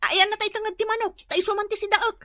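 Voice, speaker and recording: Casting: nagging, gossipy rural housewife for radio comedy; very high, piercingly bright voice, indignant and whiny. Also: female voice, fast, no room sound, studio quality. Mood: grumbling. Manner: animated, complaining, exclamatory. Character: gossip-loving Ilocano village wife, indignant and whiny. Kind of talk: comedy radio drama acting.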